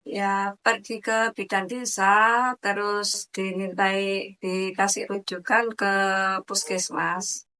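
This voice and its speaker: A woman's voice: mid-range voice, little pitch movement, slow, bright, forceful tone. A woman in her fifties giving short, plain answers in an interview. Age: 50s. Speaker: interviewed mother.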